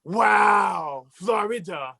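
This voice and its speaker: A man voicing a boastful mustached male puppet who shouts and brags like a cartoon villain, with a gravelly, husky voice. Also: high voice, lively intonation, extremely slow, no echo, studio quality, exaggerated voice. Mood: scornful. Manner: shouting, bragging, mock-villainous. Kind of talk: comedic puppet bit on a talk show.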